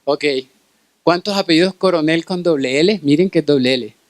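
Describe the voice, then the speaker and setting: Higher-voiced Colombian Caribbean man lecturing. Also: moderate pace, no echo. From Cartagena, Colombia. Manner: checks in with listeners through frequent short tag questions. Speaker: pediatric infectious disease specialist giving a lecture. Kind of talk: conference medical lecture.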